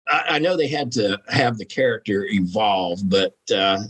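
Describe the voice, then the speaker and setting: A man's voice: on the low side, lively intonation, normal speed, fairly bright tone, slightly rough. A man talking casually and frankly as a podcast guest, with salty, informal phrasing. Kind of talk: casual conversational commentary.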